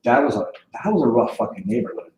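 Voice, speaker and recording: A man's voice: medium pitch, big pitch swings, fast, reverberant, noisy background. A man from East Germany talking casually as a radio show host, blunt and swearing freely. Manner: blunt, swears casually, runs the studio gear. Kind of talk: casual chat.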